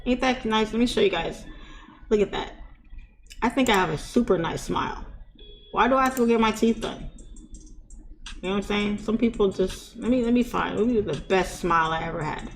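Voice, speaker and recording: A woman's voice: medium pitch, gravelly, a little hiss or hum. A woman with a lisp chatting casually with viewers during a live trading stream, laughing often and talking back to them.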